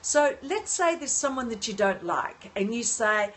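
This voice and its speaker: An older woman hosting a casual livestream from her apartment on her phone, often pausing to greet and thank viewers. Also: a little high, very animated, a bit bright. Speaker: livestream host. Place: at home in an apartment.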